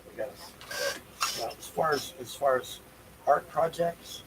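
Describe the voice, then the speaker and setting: A man's voice: medium pitch, unhurried, very noisy. A man hosting a livestream in rambling, unscripted talk, loosely talking things through to himself and repeating phrases. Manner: loose, talks to himself while setting up. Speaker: livestream host.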